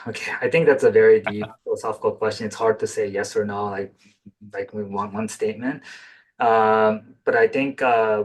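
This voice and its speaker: A man with a fairly low voice answers questions after his talk over a video call. He speaks tentatively, with fillers and restarts, as he works through each answer. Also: low-quality recording. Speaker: presenter, physician. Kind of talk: webinar Q&A answers.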